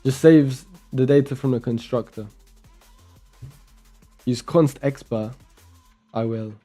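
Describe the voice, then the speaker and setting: A young man from Romania talks his way through a coding session, reading lines of code under his breath and chatting between them. His voice is mellow and relaxed, at a middle pitch for a man. Faint music plays behind him. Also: slow. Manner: muttering code aloud while typing, chatty asides. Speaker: programming streamer. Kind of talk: casual live-coding commentary.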